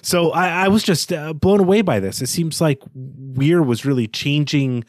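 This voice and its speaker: A man's voice: fairly high pitch, normal speed, no room sound, clean studio sound. A man co-hosting a podcast, talking through a book in a conversational style. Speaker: podcast co-host. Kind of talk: conversational literary discussion.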